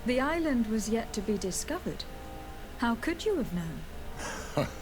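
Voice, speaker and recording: A woman's voice: on the high side, big pitch swings, unhurried, noisy background. An actress playing a courteous young noblewoman on a diplomatic errand in a video game, formal and composed. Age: young adult. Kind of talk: video game dialogue acting. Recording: game dialogue under music.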